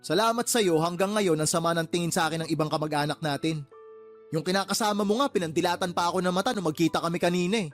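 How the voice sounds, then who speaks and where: An actor plays an indignant younger brother, fed up with freeloading family. His slightly high male voice rattles out accusations quickly, in radio-drama style over faint music. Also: lightly acted. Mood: resentful. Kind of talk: radio drama dialogue in colloquial Tagalog.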